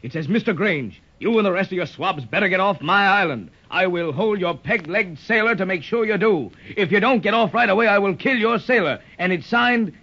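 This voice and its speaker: An actor playing a commanding, protective sea captain with salty nautical talk, in old-time radio adventure style. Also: male voice, high-pitched, animated, a little fast, hardly any pauses, bright, forceful tone, full character voice. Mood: grave. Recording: dull lo-fi old radio recording.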